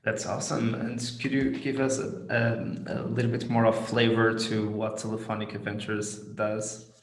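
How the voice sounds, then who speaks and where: A man's voice: fairly low pitch, little pitch movement, moderate pace. A man hosting a business podcast, introducing, explaining and putting questions to a guest. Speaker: podcast host. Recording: roomy, echoing sound.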